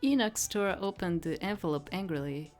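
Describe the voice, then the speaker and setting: Woman reading visual novel story out loud, bit low pitched, dramatic, faint music behind. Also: normal speed. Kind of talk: dramatic story narration with acted dialogue.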